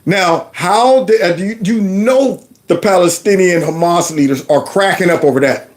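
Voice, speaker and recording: A middle-aged man hosting a commentary show, mocking and animated with loud outbursts. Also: sits high, normal speed. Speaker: host. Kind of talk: commentary vlog reacting to clips.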